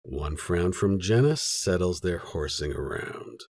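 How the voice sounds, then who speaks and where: A man narrates literary fiction in a low voice with a husky grain. His delivery is measured and sober, yet his pitch moves in a lively way through the sentences. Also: no echo, studio quality. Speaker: audiobook narrator.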